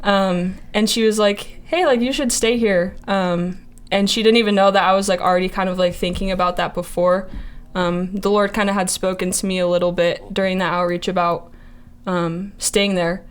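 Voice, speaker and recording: A woman's voice: mid-range voice, steady tone, moderate pace, few pauses, smooth. A young woman in her early twenties from Montana, sharing a faith testimony in casual chat, hesitant with many fillers. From Montana, USA. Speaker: ministry intern.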